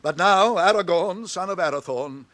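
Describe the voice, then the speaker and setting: An actor playing a solemn, commanding old wizard in a radio drama, with grand declamatory delivery. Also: male voice, high-pitched, normal speed, a bit bright, no echo. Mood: urgent, stately.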